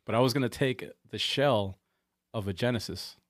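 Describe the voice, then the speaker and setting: A man co-hosting a podcast in casual chat, easygoing and agreeable with animated exclamations. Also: medium pitch, moderate pace, no echo, clean studio sound. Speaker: podcast co-host.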